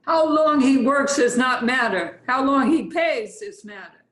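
A woman's voice: high-pitched, expressive, measured pace, fairly bright tone, echoey room. A woman playing a blunt, worldly Russian artist's model in a play reading, with a Russian accent and clipped broken English. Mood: blunt, dry. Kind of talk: readers theatre play reading.

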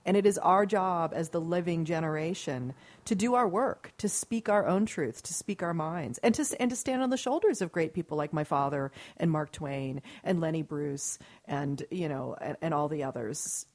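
A woman's voice: sits low, normal speed, few pauses, a little mellow. A woman hosting her own radio show, talking in a casual, earnest and rambling way with frequent restarts. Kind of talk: casual talk radio chat.

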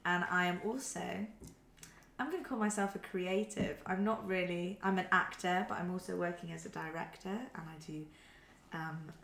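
A woman's voice: on the low side, normal speed, gravelly, a bit bright. A woman from Wales chatting casually as a guest, informal, self-deprecating and teasing. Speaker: podcast guest. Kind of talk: casual chat. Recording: echoey room with background noise.

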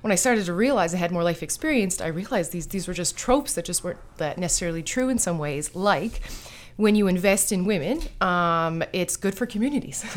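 A woman's voice: lowish voice, expressive, fairly quick. A woman guest on a panel talk show, speaking reflectively and stopping to find her words. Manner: reflective, many fillers and restarts. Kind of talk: casual conversation.

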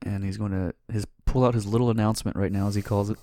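A man's voice: fairly low pitch, fairly even intonation, fast talker, warm tone, no echo, clean studio sound. A man co-hosting a podcast, chatting casually with crude, sarcastic humor and frequent laughter. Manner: sarcastic, profane, laughing often. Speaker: podcast co-host.

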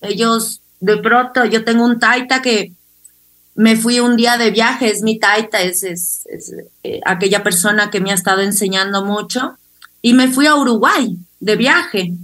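Female interview guest, bright and fairly high voice, conversational storyteller. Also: unhurried. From Ecuador. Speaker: interview guest, clinical psychologist.

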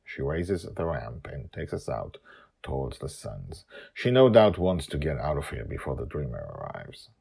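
Deep voiced guy doing a story podcast, husky, dramatic emphasis all over. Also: wildly swooping pitch, moderate pace, mellow. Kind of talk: scripted narration and casual podcast hosting.

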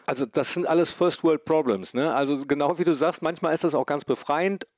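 A man's voice: a little high, brisk, darkish tone, no room sound, studio quality. A man hosting a podcast from home over a phone link, chatty and self-deprecating, stuffy with a cold. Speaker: podcast host. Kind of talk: casual remote chat.

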